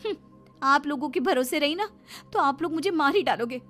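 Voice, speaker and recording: Woman acting high, defiant young wife. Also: expressive, brisk, fairly bright tone, soft background music. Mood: indignant. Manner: pleading, insistent, indignant. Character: educated, defiant young pregnant daughter-in-law.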